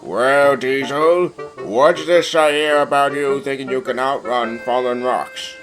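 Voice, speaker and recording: A man voices a gentlemanly railway boss, stern yet fair. His delivery is formal and measured, at a middling pitch, like an authority figure in a children's train story. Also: faint music behind, close to an everyday voice.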